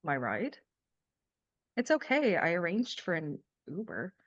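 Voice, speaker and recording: A woman playing a sardonic, anguished mother in a dramatic table read, emphatic and halting when upset, on dull lo-fi audio. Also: on the low side, sing-song. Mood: wry. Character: Lily, sardonic young mother, anguished, fiercely emotional. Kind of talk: live screenplay table read, dramatic acting.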